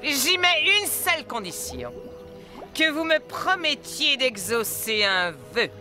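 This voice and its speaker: A woman's voice: high-pitched, very animated, slow pace, heavily acted. A woman voicing a vindictive witch in a cartoon, theatrical, cajoling and menacing by turns. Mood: falsely sweet. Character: vindictive old witch, falsely sweet, easily offended. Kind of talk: French cartoon dubbing. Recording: music behind the voice.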